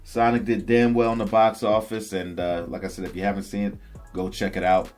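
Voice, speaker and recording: A man with a fairly deep voice talks to his viewers as a vlog host. His commentary is casual and opinionated, with an enthusiastic fan's slangy phrasing. Music plays behind him. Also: little pitch movement, a little fast, a little mellow. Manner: enthusiastic, slangy fan talk. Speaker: host of a video game and movie news channel. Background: background music.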